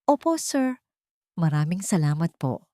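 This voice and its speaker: A woman's voice: lowish voice, big pitch swings, unhurried, mellow, no echo. Woman doing humble earnest young lady, respectful, determined, audio drama, dry recording. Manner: respectful, sincere.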